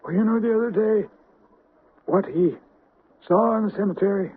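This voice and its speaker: A very husky, high-pitched groundskeeper, hesitant and unsettled in an old radio mystery. He reports strange things in halting phrases, through a tinny, narrow recording. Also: no echo. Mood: uneasy, hesitant. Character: shaken male cemetery groundskeeper reporting strange sights. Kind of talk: 1970s radio drama acting.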